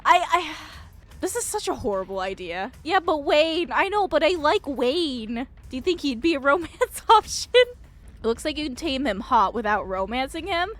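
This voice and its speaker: High-voiced, expressive woman game streamer. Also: moderate pace. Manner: excitable, giggly, expressive. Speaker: streamer playing and reading a visual novel. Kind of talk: live game commentary, reading dialogue aloud.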